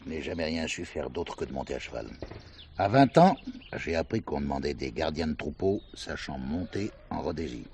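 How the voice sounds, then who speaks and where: An actor playing a gruff, quick-tempered man who runs a western ranch, in a French radio play. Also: a bass voice, animated, darkish tone, a little hiss or hum. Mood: wistful, wry.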